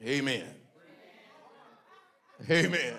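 A middle-aged man, a pastor talking to his congregation from a church stage into a handheld mic. He jokes and preaches in a lively way, often breaking into loud, emphatic exclamations. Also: mid-range voice, very slow, creaky, a faint crowd. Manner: animated, joking, bursts into loud emphatic exclamations. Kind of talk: preachy conversational talk to a congregation.

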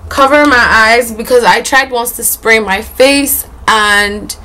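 A woman's voice: fairly high pitch, takes it slowly, sharp tone, rough recording. Young female beauty vlogger, loose chatty delivery, recorded on a front camera in a small room. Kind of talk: casual makeup tutorial chat. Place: small indoor room against a plain wall.

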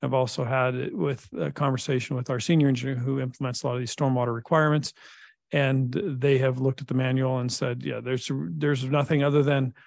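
A middle-aged man, a public works director, presenting a technical report at a council meeting in a measured way with frequent filler pauses. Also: mid-range voice, little pitch movement, creaky, dry recording, clean studio sound. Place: city council chamber.

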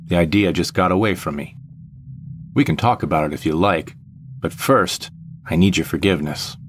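A man's voice: deep voice, moderate pace, a little hiss or hum, close to an everyday voice. A man playing a brooding, vengeful jilted ex-husband, narrating an audio drama in the first person with melodramatic deliberation. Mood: remorseful.

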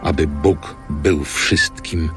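A man reading scripture as solemn scripted narration with deliberate, measured phrasing. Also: a bass voice, fairly even intonation. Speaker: scripture reader. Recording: music behind studio narration.

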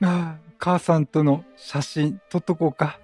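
A man doing casual Let's Play commentary as a game streamer, talking in a chatty, expressive, reactive way. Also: a little high, unhurried, breathy edge. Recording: game music behind.

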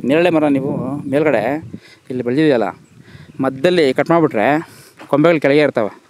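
A man walking a farm outdoors, brisk and directive as he gives practical pruning advice and asks questions. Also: mid-range voice, darkish tone. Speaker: interviewer and farming advisor. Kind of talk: advisory interview on a farm walk.

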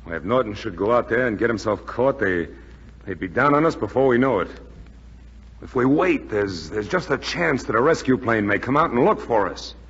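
An actor playing a stern, worried American lieutenant in a 1940s radio drama, speaking curtly and with command. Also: male voice, mid-range voice, lively intonation, normal speed, a bit bright, husky. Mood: firm, agitated. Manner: curt, commanding. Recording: muffled, echoey 1940s radio recording.

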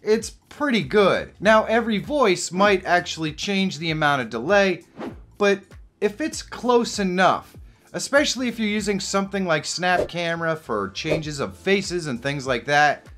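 A middle-aged man hosting a casual software tutorial. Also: sits high, animated, a little slow, soft background music. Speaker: tutorial host. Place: small indoor home studio.

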